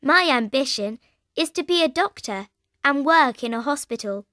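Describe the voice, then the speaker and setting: A woman voicing a science-loving twelve-year-old girl in scripted coursebook audio, speaking slowly and clearly. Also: high voice, animated, a bit bright, no room sound, clean studio sound. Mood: friendly. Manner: slow, clear, careful delivery. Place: recording studio.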